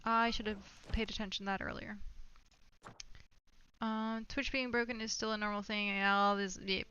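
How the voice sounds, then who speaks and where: A woman's voice: mid-range voice, flat delivery, moderate pace, bright, forceful tone, highs cut off, a little hiss or hum. A woman livestreaming a game with casual chat, rambling and muttering asides to herself and her viewers. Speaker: livestream host. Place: small stuffy room indoors with window closed.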